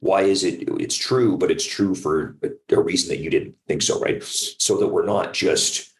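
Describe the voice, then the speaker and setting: A man with an extremely deep voice talks keenly as an interviewed creator. The sound is roomy and echoing, and he checks in with the listener as he explains. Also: fairly even intonation, moderate pace. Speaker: audio drama co-creator. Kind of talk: interview chat.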